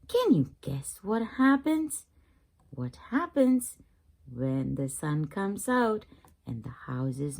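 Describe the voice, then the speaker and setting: A woman's voice: medium pitch, creaky, darkish tone. A woman reading a picture book aloud to young children in a teacherly manner, putting questions to the listeners. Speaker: children's read-aloud channel host.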